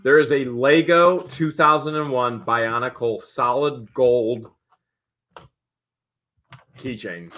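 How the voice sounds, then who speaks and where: A man hosting a live online auction stream, calling out bids excitedly and chatting with viewers. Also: medium pitch. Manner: excited, rapid bid calling. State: often loses voice after streams. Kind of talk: fast auctioneer-style live stream chat.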